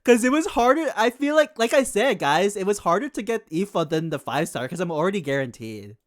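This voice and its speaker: A man chatting casually on a gaming livestream in an informal, excitable way, often repeating his phrases. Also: high voice, a little fast. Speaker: game streamer.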